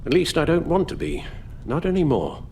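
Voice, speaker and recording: An actor playing an elderly British butler in a video game, formal and measured, weary and full of sorrow. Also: male voice, fairly high pitch, big pitch swings, gravelly, dark tone, slight background noise. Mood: resigned sorrow. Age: older. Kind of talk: dramatic video game acting.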